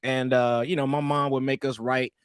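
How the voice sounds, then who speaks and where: A man's voice: mid-range voice, moderate pace, smooth, dry recording, studio quality. Laid-back man from East St. Louis. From East St. Louis, Illinois. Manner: relaxed, rambling, peppered with "man" and restarts. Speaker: guest. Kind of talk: casual interview storytelling.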